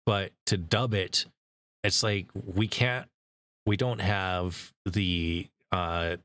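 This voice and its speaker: A man hosting a casual interview with a voice actor, relaxed and quick to laugh. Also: deep voice, dry recording. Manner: relaxed, with easy laughs and "yeah" backchannels. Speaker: interview host.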